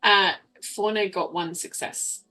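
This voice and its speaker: Unhurried woman player over soft music. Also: mid-range voice, fairly even intonation, low-quality recording. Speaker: player in a tabletop roleplaying game. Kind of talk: casual game talk.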